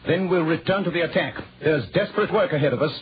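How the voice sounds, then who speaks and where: A man playing a brisk, commanding English detective with clipped, precise, theatrical diction in a 1940s radio drama. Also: fairly high pitch, faint music behind, close to an everyday voice. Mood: urgent, incisive. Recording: muffled, noisy 1940s radio recording.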